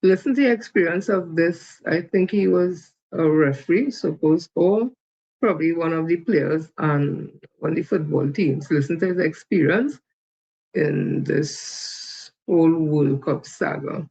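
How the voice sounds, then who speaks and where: Gravelly man hosting a live stream. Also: fairly high pitch, darkish tone, dull audio. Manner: loose, halting delivery with fillers. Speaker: host. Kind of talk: casual live-stream commentary.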